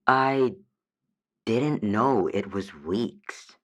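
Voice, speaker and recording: A woman's voice: very deep voice, animated, very slow, exaggerated voice. A woman voicing a meek, hesitant dryad calling a radio advice show in an audio drama, unsure and trailing off. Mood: defensive, tired. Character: hesitant, meek female dryad, homesick for her forest.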